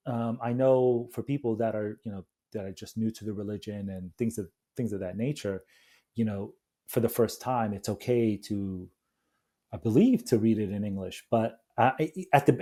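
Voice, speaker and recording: A man's voice: medium pitch, mellow. A man speaking casually as an interview guest, feeling his way through sentences and repeating words. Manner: halting, repeats words and agrees readily. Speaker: interview guest, coffee company founder.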